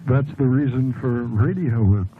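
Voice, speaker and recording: A man's voice: medium pitch, a little slow, a crowd in the background. Male radio host on tinny old recording. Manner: brief questions and murmured acknowledgements. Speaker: radio call-in show host. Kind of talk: talk radio interview with a caller. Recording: noisy, narrow-band 1970s AM radio recording.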